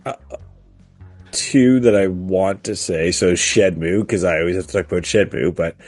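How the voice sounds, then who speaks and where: A middle-aged man co-hosting a casual podcast, speaking haltingly as he searches for words. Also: low-pitched, a little mellow, no room sound, faint music behind. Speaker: podcast co-host. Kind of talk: casual chat about video games.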